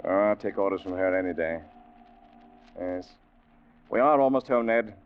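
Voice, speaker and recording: An actor playing an earnest, lovestruck ship's officer, emphatic and emotional, in an old-time radio drama. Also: male voice, low-pitched, lively intonation, moderate pace, clean tone. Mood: easygoing. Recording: muffled vintage radio recording.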